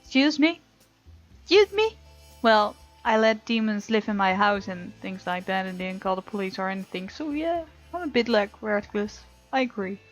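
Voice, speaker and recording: A woman hosting a let's play, reading game text aloud dramatically and reacting with animated sass. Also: mid-range voice, moderate pace. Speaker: gaming channel host. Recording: slightly dull, lo-fi, game music behind. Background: soft game music.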